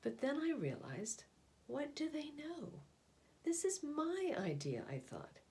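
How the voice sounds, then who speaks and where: A woman reading a picture book aloud as a storyteller, with deliberate, expressive phrasing and pauses. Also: high-pitched.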